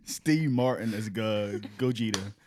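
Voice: medium pitch, a little slow, a little mellow. A podcast co-host in casual comedic chat, swearing and dismissing things as a joke, recorded with some background noise. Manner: profane, dismissive joking.

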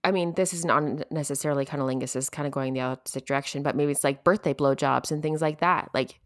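Low-voiced woman, reflective podcast co-host. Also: fairly even intonation, fast, no room sound, studio quality. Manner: reflective, laughs often, hedging. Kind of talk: casual chat.

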